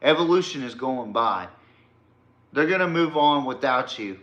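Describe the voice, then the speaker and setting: A man's voice: mid-range voice, animated, unhurried, a bit bright, reverberant. An American man hosting a sports podcast, ranting casually in a blunt, emphatic and profane way. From Texas, United States. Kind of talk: casual opinionated sports rant.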